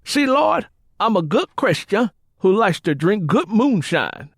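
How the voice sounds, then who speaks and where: A man's voice: high-pitched, big pitch swings, unhurried, creaky, husky, dry recording, clean studio sound, pitched above the performer's own voice, full character voice. A man voicing a mocking, hard-drinking Southern husband with folksy, irreverent banter in an audiobook. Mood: mocking, gleeful. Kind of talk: audiobook character acting.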